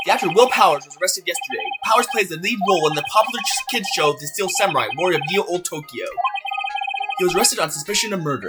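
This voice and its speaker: A man voicing a matter-of-fact television news reader, delivering a scripted bulletin formally within a game fandub. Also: sits high. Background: loud music.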